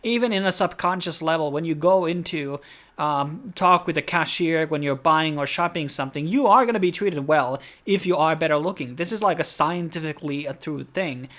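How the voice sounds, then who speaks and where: A man's voice: a little high, moderate pace, a bit bright. Rambling man reviewing anime. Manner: rambling, heavy on fillers like "like" and "um". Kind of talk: casual video review.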